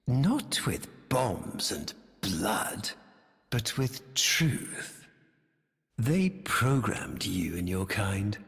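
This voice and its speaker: An actor playing a bitter, scheming aristocratic villain in a video game, speaking in poetic, grandiose phrases with dramatic pauses and a breathy tone that often drops toward a whisper. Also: male voice, on the low side, big pitch swings, a bit bright, heavily acted. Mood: silky menace. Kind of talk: theatrical scripted video game dialogue. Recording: echoey, long hall-like reverb.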